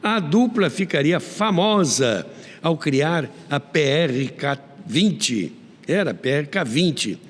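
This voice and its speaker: Animated Brazilian man narrating in long reverb. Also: a little high, slow pace. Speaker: host of a radio history show. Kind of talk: conversational scripted narration of radio history.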